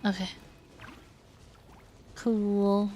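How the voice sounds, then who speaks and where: A woman's voice: medium pitch, little pitch movement, drawn-out words, dark tone, slight background noise. A woman game streamer giving chatty, informal, self-deprecating live commentary as she plays. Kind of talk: casual live gameplay commentary.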